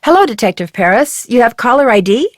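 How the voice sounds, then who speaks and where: A woman's voice: on the high side, very animated, fairly quick, dry recording, clean studio sound. An actress playing a polite young female amateur sleuth in a video game, with a courteous manner. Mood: polite, friendly. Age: young adult.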